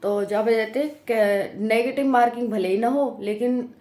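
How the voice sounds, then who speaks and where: High-pitched woman, Braj accent, echoey room. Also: steady tone, normal speed, mellow. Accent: Braj, western Uttar Pradesh. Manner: colloquial, direct, checks in with tag questions. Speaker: exam coaching YouTuber. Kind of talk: informal explanatory talk to students.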